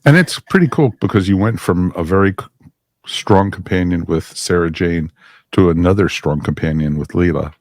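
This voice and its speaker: A man's voice: low-pitched, moderate pace, no room sound, studio quality. A man hosting a podcast in casual chat, joking and filling with "you know". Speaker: podcast host.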